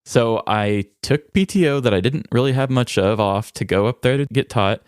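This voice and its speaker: A man chats casually as a podcast co-host. His voice sits at an average male pitch and is recorded close and dry. He tells stories in a conversational way, voicing both sides of exchanges as he goes. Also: moderate pace, smooth, no room sound. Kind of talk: casual banter and storytelling.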